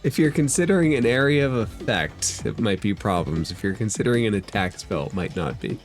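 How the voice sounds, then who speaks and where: Male game master, low voice, calm rulings, soft music bed. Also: fairly quick. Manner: calm rulings, narrating monsters. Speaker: dungeon master. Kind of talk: running a tabletop game.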